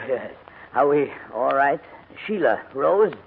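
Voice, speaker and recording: An actor playing a crusty but kindly older gentleman in a formal, hesitant manner, in 1940s radio drama style. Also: mid-range voice, animated, takes it slowly, creaky, a little hiss or hum. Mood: gentle, subdued. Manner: fussy, grumbling, formal, hesitant. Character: crusty, reluctant but kindly old English gentleman guardian. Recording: muffled vintage 1940s radio recording, music.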